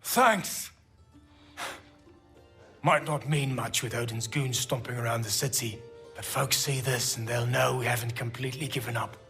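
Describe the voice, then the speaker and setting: A man's voice: mid-range voice, normal speed, long pauses, pushed and bright, faint music behind. A man playing a hard-drinking, sardonic dwarf resistance leader in a video game, wry and wordy. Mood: grateful, contrite. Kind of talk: video game cutscene acting.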